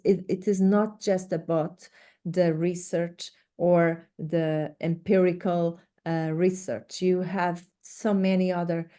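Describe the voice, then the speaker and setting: A woman from Portugal, a native Portuguese speaker with a Portuguese accent, presents an instructional webinar in a halting, hesitant way with many pauses. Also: on the low side, dark tone. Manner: hesitant, halting delivery with frequent pauses and repeated words. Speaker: research director presenting a webinar.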